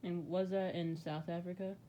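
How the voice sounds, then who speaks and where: A person asking interview questions in a casual, halting way, with fillers and restarts. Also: medium pitch, little pitch movement, warm tone.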